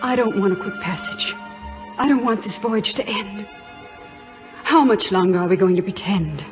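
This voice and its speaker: A woman's voice: mid-range voice, moderate pace, lightly acted. A woman playing a gracious, candid, romantic English noblewoman with a refined manner in an old-time radio drama. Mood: romantic, yearning. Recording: muffled old radio broadcast with music.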